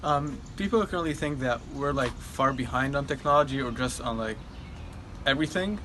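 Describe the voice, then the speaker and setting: A teenage boy from Egypt, a high school student answering interview questions thoughtfully, with frequent ums and likes. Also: animated, normal speed, fairly bright tone, a little hiss or hum. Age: teens.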